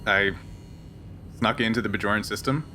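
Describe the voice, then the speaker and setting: A man playing a guarded, resigned smuggler pilot in a tabletop roleplaying game, speaking in a halting, measured way. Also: on the low side, steady tone, bright, forceful tone. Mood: matter-of-fact, defiant. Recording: faint background noise.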